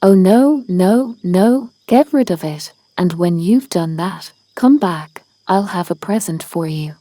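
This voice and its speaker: A big, self-important crocodile from a children's storybook, read by a woman. He is possessive and blustering, yet mellow in tone. The sound is dry and close. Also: lowish voice, animated, slow pace, no echo. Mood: relieved, eager. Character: large selfish male crocodile, possessive and blustering.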